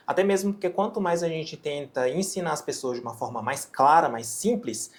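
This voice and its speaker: A man from Japan whose first language is Japanese, speaking with a Japanese accent as he explains his teaching ideas in an interview, measured and explanatory. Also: a little high. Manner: measured, explanatory, often ends phrases with a questioning tag. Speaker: physician and medical educator.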